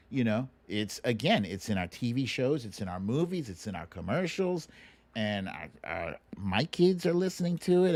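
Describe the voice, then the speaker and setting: A middle-aged American man hosts a livestream in an informal, opinionated way. His mid-pitched voice moves up and down a great deal, and he repeats words to drive a point home. The sound is dry, with little room echo. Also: darkish tone. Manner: informal, opinionated, self-deprecating, often repeats words for emphasis. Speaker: livestream host. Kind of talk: casual livestream chat.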